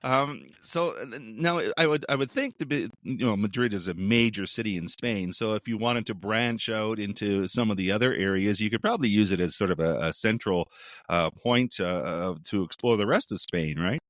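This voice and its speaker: A middle-aged man hosting a travel podcast, chatty and quick to laugh. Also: fairly low pitch, no room sound, clean studio sound. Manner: friendly, laughs often, chatty. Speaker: podcast host. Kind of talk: casual interview and show outro.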